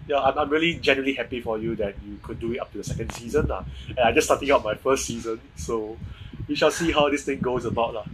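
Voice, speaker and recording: A man's voice: mid-range voice, fast talker, background noise. A young man in his twenties with a Singaporean English accent, hosting a podcast over a video call and chatting loosely with frequent restarts. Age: 20s. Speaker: podcast host. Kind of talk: casual video-call chat. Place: home room with shelves. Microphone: earphone mic on video call.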